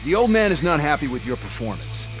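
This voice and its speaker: An actor playing an abrasive, short-tempered corporate boss in a video game, sarcastic and taunting. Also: male voice, fairly high pitch, very animated, fast, faint music behind, poor audio. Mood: sneering.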